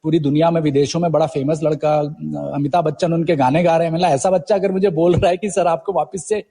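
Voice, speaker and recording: A man in his forties from Uttarakhand with a husky voice, telling his story conversationally as an interview guest, mixing in English words. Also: on the high side, steady tone, fast talker, warm tone, in a large hall. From Uttarakhand, India. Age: 40s. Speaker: guest poet.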